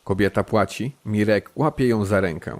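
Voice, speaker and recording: A man's voice: on the low side, normal speed. A man reading scripted present-tense narration for an audio drama.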